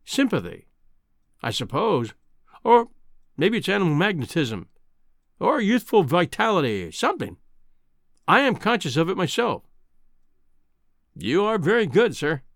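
Man playing an eager young reporter, lively pitch. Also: a little slow, long pauses, no room sound, clean studio sound, exaggerated voice. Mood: modest, grateful. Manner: deferential, earnest. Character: eager, earnest young male newspaper reporter seeking adventure.

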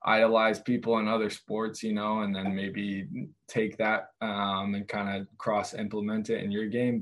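A young man speaking as a guest on a sports interview, telling his stories casually. His voice sits somewhat low with very little rise and fall. He often hesitates and restarts as he goes. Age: young adult. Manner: hesitant, many fillers and restarts. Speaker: guest, college goalkeeper.

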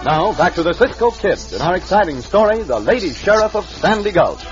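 A man's voice: high voice, sing-song, a little fast, music in the background. A man narrating a radio drama dramatically. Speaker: narrator and announcer. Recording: dull old radio recording.

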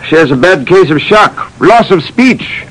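A grave, sober doctor. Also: male voice, fairly high pitch, expressive, unhurried, fairly bright tone, slight background noise, low-quality recording. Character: grave, matter-of-fact male town doctor. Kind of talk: 1940s radio acting.

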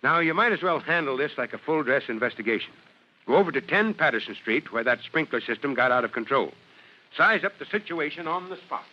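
An actor playing an authoritative, blustery middle-aged fire chief with an American accent in a vintage radio drama. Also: male voice, fairly high pitch, expressive, brisk. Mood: firm, instructing. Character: gruff, commanding middle-aged male fire chief, fatherly. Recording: muffled old radio show recording.

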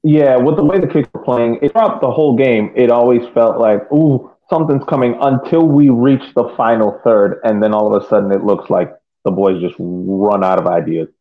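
A man co-hosting a casual sports podcast, thinking aloud haltingly as he analyses play, heard over a tinny phone-like connection. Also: mid-range voice. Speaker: podcast co-host.

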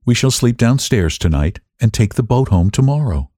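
A man's voice: mid-range voice, animated, normal speed, warm tone, no room sound, clean studio sound, voice pushed up, close to an everyday voice. A man reading dramatically as an unhinged, secretive, defiant woman narrating a gothic story. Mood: brooding, agitated. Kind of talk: dramatic first-person audiobook reading.

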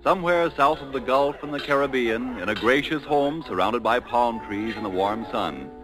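A man's voice: mid-range voice, normal speed, low-quality recording. A man reading a scripted 1940s radio advertisement as an announcer. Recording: vintage 1940s radio recording. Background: music.

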